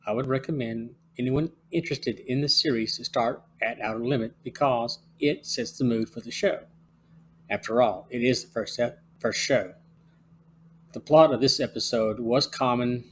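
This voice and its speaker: Man reading a scripted podcast review. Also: mid-range voice. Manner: reads from a script, plain and even. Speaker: podcast host.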